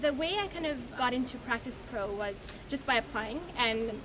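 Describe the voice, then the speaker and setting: Woman from Pakistan, law student, chatty reception speech, fairly high voice, noisy recording. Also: expressive, moderate pace. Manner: friendly, chatty, with many fillers. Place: reception event.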